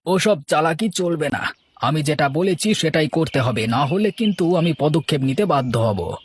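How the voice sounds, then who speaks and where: A man's voice: fairly high pitch, lively intonation, normal speed, breathy edge. A man voicing a cool, threatening blackmailer who makes firm demands in a cartoon. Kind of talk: Bengali cartoon dialogue.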